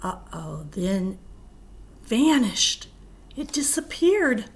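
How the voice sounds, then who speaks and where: Lady teacher doing a kids story time, higher voice, really expressive, some noise in the recording. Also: drawn-out words. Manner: animated, expressive, speaking to young children. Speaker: elementary school teacher reading aloud. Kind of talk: children's picture book read-aloud with asides.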